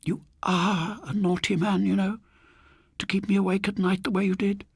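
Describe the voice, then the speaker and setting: A man's voice: high-pitched, flat delivery, moderate pace, gravelly, slightly rough, no echo, studio quality, pitched above the performer's own voice, exaggerated voice. A man voicing a prim, genteel elderly spinster landlady who scolds fussily in an audiobook story. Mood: indignant scolding. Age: older. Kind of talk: audiobook character voice.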